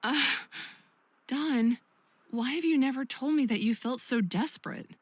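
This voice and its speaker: Casting: a caring, firm confidante in a visual novel; slightly high pitch, probing delivery, very dry recording. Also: female voice, a little slow, dry recording. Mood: concerned. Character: concerned, questioning female friend, caring but firm.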